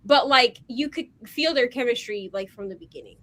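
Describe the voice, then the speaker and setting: A woman co-hosting a casual chat over a video call, an animated storyteller who fills her talk with "like.". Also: on the high side, moderate pace, slight background noise. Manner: animated storyteller, heavy on "like" fillers. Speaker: co-host.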